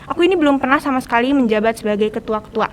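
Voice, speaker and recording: A woman's voice: fairly high pitch, very fast, slight background noise. A teenage young woman with a Jakarta Indonesian accent, explaining things in a casual conversational style as a podcast guest. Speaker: podcast guest, student organization leader.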